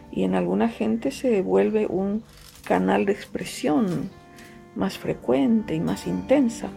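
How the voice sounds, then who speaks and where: A middle-aged woman with a Paraguayan Spanish accent and Guaraní as her first language speaks slowly and thoughtfully, often circling back to repeat a phrase. Her voice is gravelly and dark, with a relaxed mellowness. Soft music plays faintly beneath her. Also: medium pitch. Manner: unhurried, fond of repetition, frequent fillers. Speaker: writer, interviewee. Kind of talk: reflective interview answers.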